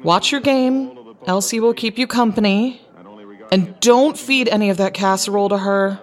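Troubled young woman, audio drama female actor: heavy vocal fry, reserved, halting naturalistic delivery. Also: mid-range voice, lightly acted. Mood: wry. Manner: hesitant, sighing, reserved.

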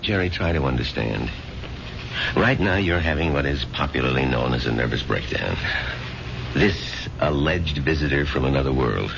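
Through a hissy, dull old radio recording comes a blunt, authoritative doctor. His voice is extremely deep, with strongly varied intonation. He is firm and emphatic, in 1970s radio drama style. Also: expressive, moderate pace, gravelly, full character voice. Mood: firm, insistent. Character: blunt, authoritative male doctor counselling a patient. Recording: noisy, dull vintage radio broadcast.

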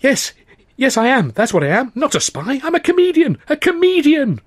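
A man's voice: wildly swooping pitch, normal speed, dry recording, clean studio sound, exaggerated voice. A man doing a flustered cornered comedian. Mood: frantic. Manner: high, flustered, pleading. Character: panicky young male comedian, cornered by a mob.

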